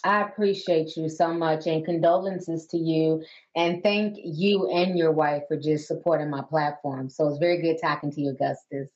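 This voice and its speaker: A woman hosts a call-in show, talking casually and giving her listeners advice. Her voice sits on the low side. The recording is dull and lo-fi. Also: fairly even intonation, moderate pace, a little mellow. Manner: chatty, advising listeners.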